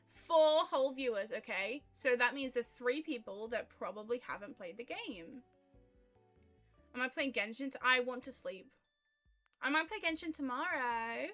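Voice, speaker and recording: A woman's voice: high-pitched, animated, unhurried, a bit bright, soft background music. A woman livestreamer chatting casually with viewers, in a chatty, gushing way. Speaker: streamer, indie vtuber.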